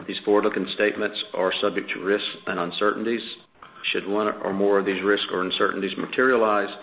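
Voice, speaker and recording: A man speaking as a finance executive, giving a formal, businesslike scripted earnings presentation over a conference phone line. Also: low voice. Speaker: chief financial officer presenting results. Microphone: conference call phone line.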